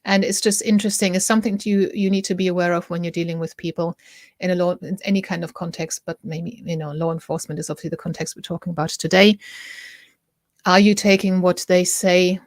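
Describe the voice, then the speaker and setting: Woman, German accent, middle-aged host, lowish voice, dry close sound, chatty and self-correcting. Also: steady tone, quick pace, dry recording. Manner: chatty, self-interrupting, asks viewers for opinions. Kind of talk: casual chat to camera.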